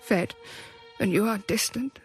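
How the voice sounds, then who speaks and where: Woman playing a frightened young wife, lyrical, very slow, music behind. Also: medium pitch, lightly acted. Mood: fearful. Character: anxious young wife with dark premonitions.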